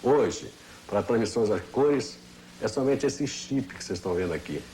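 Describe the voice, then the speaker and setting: A man's voice: on the low side, expressive, normal speed, mellow, rough recording. An older Brazilian man, a veteran engineer, giving a detailed, didactic technical explanation in an interview. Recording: faint noise, old TV broadcast.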